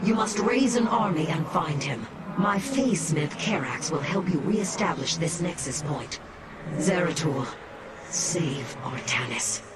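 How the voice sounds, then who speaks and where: A woman's voice: deep voice, expressive, a little slow. A woman playing a dutiful, stern alien military commander in a video game, formal and grave. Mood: urgent, commanding. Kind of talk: dramatic video game acting. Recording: game audio with very loud noise.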